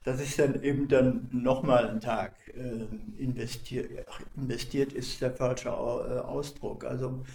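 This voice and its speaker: An older man volunteering as an archivist, answering interview questions with many pauses and restarts. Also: mid-range voice, level tone, gravelly, a little mellow, slight background noise. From not from Cologne. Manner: hesitant, many fillers and restarts. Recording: echoey room.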